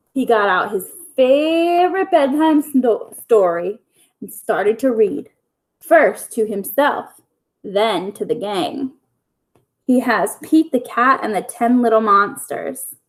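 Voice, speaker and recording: A woman storyteller reading a picture book aloud to young children in simple, deliberate phrases. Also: medium pitch.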